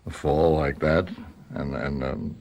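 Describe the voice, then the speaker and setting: An actor with an American accent playing a folksy, plainspoken local lawman in a radio mystery, halting and colloquial. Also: a bass voice, fairly even intonation, gravelly, background noise, heavily acted. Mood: awkward, sympathetic. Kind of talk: radio mystery drama acting.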